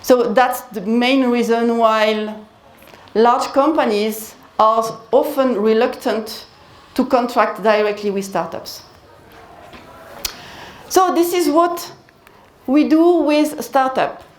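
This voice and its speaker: A woman presents at a conference with a fairly high voice, speaking into a handheld mic. She talks in a measured way and repeats her key phrases. The hall around her is echoey and roomy. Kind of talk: business presentation with Q&A.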